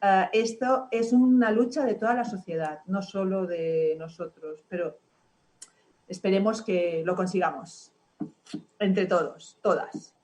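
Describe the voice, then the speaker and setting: A woman panelist in an online discussion, earnest and halting, with many fillers. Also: medium pitch, darkish tone, poor audio.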